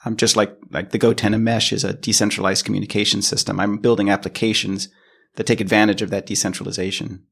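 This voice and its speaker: An American man answers interview questions in a low, gravelly voice full of fry. He explains in a conversational way, thinking as he goes and often restarting his phrases. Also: little pitch movement, fast talker, fairly bright tone. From California, United States. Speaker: podcast guest, product engineer.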